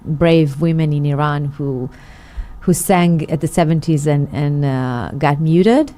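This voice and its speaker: A woman's voice: sits low, darkish tone. A middle-aged woman, a singer, telling her story in an interview with many fillers and restarts. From Israel. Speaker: guest, singer. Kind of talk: interview conversation.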